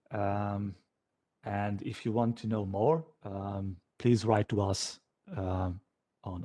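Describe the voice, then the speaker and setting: A man, a developer informally answering questions after a talk in a hesitant, halting way, as if thinking aloud. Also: fairly low pitch, mellow. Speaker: software developer giving a conference talk.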